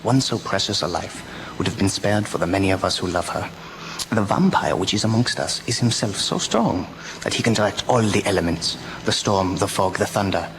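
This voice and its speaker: A man acts out a grave vampire hunter for a radio play. The professor's voice is low and commanding, his oratory solemn and his phrasing stilted and foreign. Plenty of noise sits on the recording. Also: normal speed. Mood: grave, rallying. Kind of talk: radio drama acting. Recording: noisy amateur local radio recording.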